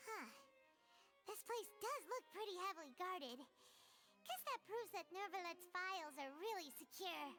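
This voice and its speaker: An actress voicing a tiny, perky, childlike companion in a video game, squeaky and chirpy. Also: female voice, expressive, a little slow, pushed and bright, soft background music, heavily acted. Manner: squeaky, chirpy, speaks in third person.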